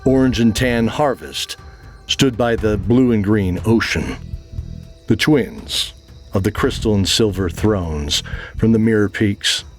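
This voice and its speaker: A man's voice: lowish voice, slow, soft background music. A man narrating a fantasy audio drama in a scripted, dramatic storytelling style.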